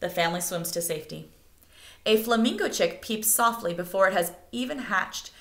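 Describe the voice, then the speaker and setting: A woman reads a nature picture book aloud as expressive narration meant for children. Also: medium pitch, normal speed. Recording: echoey room.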